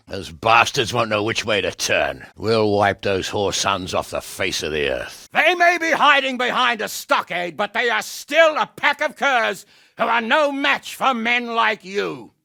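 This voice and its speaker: An actor playing a gruff, sardonic middle-aged medieval lord and commander in a video game, blunt and coarse, with a gravelly voice. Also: male voice, on the high side, bright, forceful tone, dry recording, clean studio sound, heavily acted. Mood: rousing, fierce. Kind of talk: dramatic video game voice acting.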